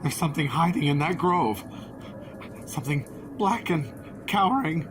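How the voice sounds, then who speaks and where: A man's voice: fairly high pitch, expressive, normal speed, a little hiss or hum, natural voice. A man playing a timid, superstitious, fussy schoolmaster on horseback in an audio drama, talking nervously and theatrically to himself and his horse. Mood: nervously self-reassuring. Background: horse hooves and night ambience.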